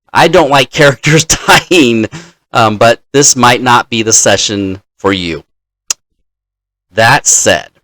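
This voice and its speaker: A man in the role of dungeon master, casually telling a story from his game session in a halting, deliberate manner. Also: medium pitch, a bit bright, dry recording.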